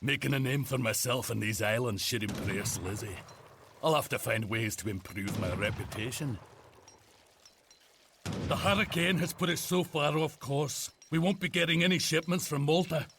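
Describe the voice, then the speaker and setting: A man playing a gruff, resolute knight commander in a video game cutscene, curt and commanding. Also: medium pitch, moderate pace, noisy background, lightly acted. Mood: determined. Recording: music behind the voice.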